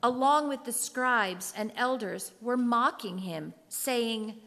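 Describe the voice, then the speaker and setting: A woman narrates scripture in a solemn, scripted style. Her pace is slow and her pitch a little high. The sound carries long reverb from a big hall. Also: creaky, pushed and bright.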